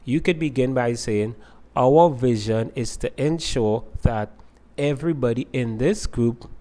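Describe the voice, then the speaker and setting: A male lecturer with a voice of ordinary middle pitch. He explains concepts methodically and builds lists by repeating the same opening words. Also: a little mellow. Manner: explains step by step with repeated phrasing. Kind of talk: recorded lecture to students.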